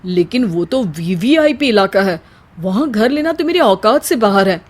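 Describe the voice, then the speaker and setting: A woman voicing a patient, sensible middle-class husband in a family story, speaking in a gentle, placating way. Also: mid-range voice, expressive, normal speed, natural voice. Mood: worried, reluctant. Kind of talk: dramatised Hindi family storytelling.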